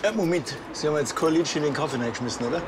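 An actor playing a dry, sceptical Bavarian policeman with a deadpan, needling manner in a TV crime comedy. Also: on the high side, very fast, noisy background, lightly acted. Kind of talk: naturalistic TV crime comedy dialogue.

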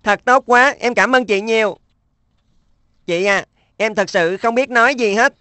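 A woman's voice: on the high side, a little slow, bright, forceful tone, no room sound. A woman voicing an earnest, slightly plaintive schoolboy in a dubbed children's cartoon. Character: earnest, thoughtful schoolboy, middle sibling.

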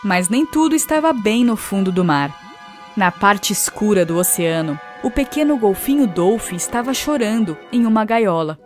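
A woman narrating a children's cartoon story in a clear, expressive storytelling manner. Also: mid-range voice, moderate pace, faint music behind.

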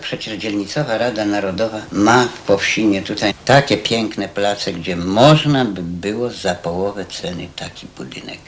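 A man with a fairly low voice speaks off the cuff as a local interviewee. The old newsreel location sound carries faint noise. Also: normal speed, hardly any pauses. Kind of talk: spontaneous vox pop complaint.

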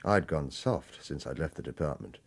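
A man's voice: very deep voice, lively intonation, normal speed, creaky, breathy edge, no room sound, full character voice. An actor playing a guarded, world-weary retired hitman in a radio crime drama, terse and wry. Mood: tense, brooding. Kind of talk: radio crime drama dialogue and first-person noir narration.

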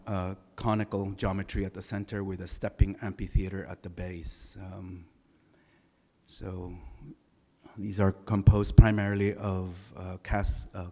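A middle-aged man with a baritone voice giving a relaxed, anecdotal architecture lecture, often pausing on filler sounds. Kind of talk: conversational design lecture. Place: large lecture hall. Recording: reverberant lecture hall.

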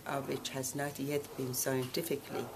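A woman in her sixties, born in Iran, answering interview questions in a measured, deliberate way with frequent pauses. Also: a bass voice, level tone, a crowd in the background. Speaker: guest, association president.

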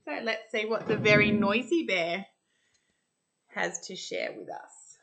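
An Australian woman in her thirties reading a picture book aloud to children in an expressive, sing-song way. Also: mid-range voice, gravelly. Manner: deliberate, sing-song storytelling delivery. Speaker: primary school teacher reading storytime. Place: home kitchen, indoors.

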